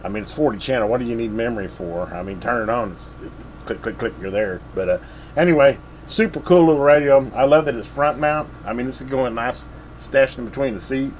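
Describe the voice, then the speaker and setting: A man's voice: mid-range voice, fairly quick, a little mellow, slight background noise. A man, chatting casually while he reviews a radio at his home ham station. He rambles in an easygoing way, with lots of "uh" fillers. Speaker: radio repair video host. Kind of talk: casual hands-on review chat.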